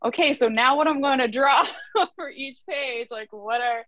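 A woman's voice: sits high, normal speed, a bit bright, dry recording. Giggly young woman with a dry sound. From Canada. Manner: giggly, chatty, uses "like" a lot. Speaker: illustrator. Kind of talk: casual conversation. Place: small room at home. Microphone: laptop webcam video call.

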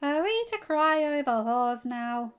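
A woman's voice: high-pitched, lively intonation, a little slow, clear, resonant tone, voice pushed up. A woman voicing an arrogant, mocking teenage prince, recorded dull and lo-fi over music.

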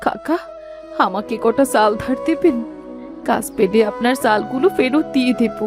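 A woman's voice: on the high side, moderate pace, music in the background, natural voice. A woman voicing a poor village mother in a cartoon, with an anxious, pleading manner. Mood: plaintive. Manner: anxious, plaintive. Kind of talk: cartoon voice acting.

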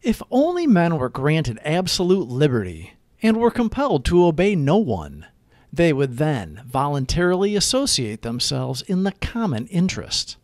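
A man narrating a scripted daily reflection in a measured, earnest, declarative way. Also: fairly high pitch, wildly swooping pitch, darkish tone, no echo. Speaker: narrator of daily recovery readings. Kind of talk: scripted read-aloud reflection.

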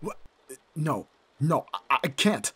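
A man acts out a devoted young male vampire in an ASMR-style roleplay. His voice is very breathy and slightly high, and he pleads in halting, anguished phrases. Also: very animated, sharp tone, dry recording, full character voice. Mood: distraught. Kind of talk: ASMR audio roleplay acting.

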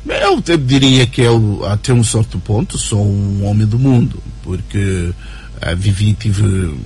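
A man talks as a radio interview guest. His voice is gravelly and very husky, and he thinks aloud with many fillers and tag questions. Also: on the low side, expressive, a little slow, darkish tone. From Angola. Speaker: interview guest, writer and journalist. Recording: radio broadcast recording.

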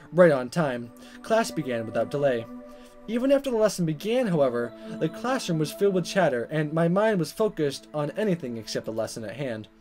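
Man, let's player, high-ish voice, very lively intonation, expressive game read-aloud, stumbles and restarts. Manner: animated, stumbles over words and corrects himself. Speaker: let's player reading a visual novel aloud. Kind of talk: expressive read-aloud narration with casual asides.

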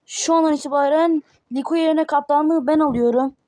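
A woman voicing panicky kid characters in a homemade cartoon, with excitable exclamations. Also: sits high, brisk, no echo. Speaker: cartoon voice performer.

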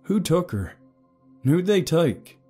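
A man's voice: medium pitch, fast talker, dark tone, faint music behind, pitched above the performer's own voice, close to an everyday voice. A man playing a worried father in an audio story, earnest, urgent and insistent as he questions others. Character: worried, insistent father searching for missing son.

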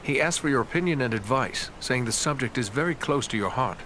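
An actor playing a dutiful, courteous ranger in a fantasy game, with a formal, earnest way of speaking. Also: male voice, medium pitch, animated, a little fast, background noise, natural voice. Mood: earnest, respectful. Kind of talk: scripted fantasy game dialogue. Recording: loud music over the dialogue.

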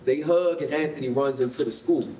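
A man's voice: mid-range voice, brisk, warm tone. An American man reading scripted stage directions as narrator at a staged reading. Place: concrete-walled rehearsal hall. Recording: roomy, distant mic, faint noise.

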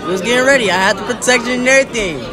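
A teenage boy telling a crazy story in an animated, casual way. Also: very high-pitched, racing. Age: teens. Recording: loud crowd chatter behind.

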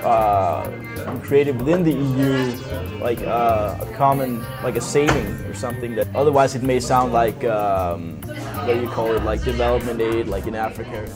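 A man's voice: medium pitch, moderate pace, loud music behind the voice. A male student thinking aloud in a group discussion, hesitant and searching for words. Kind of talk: group brainstorm.

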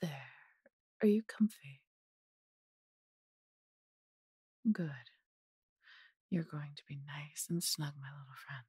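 A woman voicing a large, possessive but doting female dragon in an intimate fantasy audio roleplay, coaxing and teasing in a breathy, husky voice. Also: deep voice, unhurried, warm tone, no room sound, studio quality, full character voice. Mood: sleepy, tender.

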